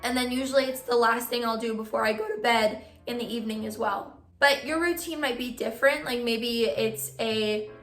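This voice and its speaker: A young woman vlogging casually to her viewers, giving friendly reading tips, her voice a bit hoarse from losing it. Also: a little high, fairly even intonation, normal speed, few pauses, fairly bright tone, reverberant, soft background music. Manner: friendly advice-giving, filler "like". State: partly lost voice, hoarse. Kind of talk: casual vlog talk to camera.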